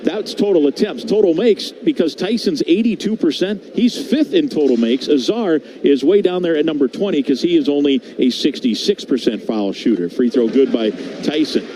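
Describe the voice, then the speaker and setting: A man's voice: fairly high pitch, lively intonation, normal speed, few pauses, warm tone, rough recording. A man giving live radio-style basketball play-by-play as the commentator, in clipped running descriptions that lift on big plays. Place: basketball arena, courtside.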